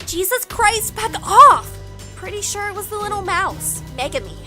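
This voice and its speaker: A woman's voice: very high voice, big pitch swings, moderate pace, pushed and bright, soft background music, exaggerated voice. A woman playing a foul-mouthed, mocking teenage schoolgirl bully in an anime-style audio story, snappy and defiant. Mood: irritated, defensive.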